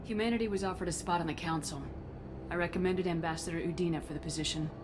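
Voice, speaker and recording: A woman's voice: on the low side, steady tone, fast talker, noisy background, lightly acted. A woman playing a resolute, principled military commander in a video game, speaking in a firm, no-nonsense, questioning manner. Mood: resolute, sober. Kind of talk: video game cutscene acting.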